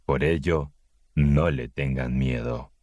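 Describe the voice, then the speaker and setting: Very deep-voiced man reading slowly. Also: steady tone, a little mellow, no room sound, studio quality. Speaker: narrator reading scripture. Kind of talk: scripted audiobook narration of the Bible.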